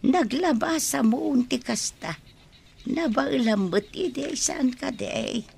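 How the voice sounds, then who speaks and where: A woman's voice: sits high, animated, takes it slowly, creaky, a little mellow, slight background noise, heavily acted. An actress with an Ilocano Filipino accent playing a frank, caring older mother in a radio drama. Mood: concerned, motherly.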